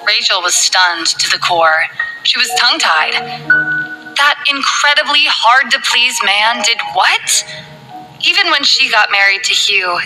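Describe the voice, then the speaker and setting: Woman narrator, novel reading, bright and clear, quiet music bed. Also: fairly high pitch, rough recording. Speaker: audiobook narrator.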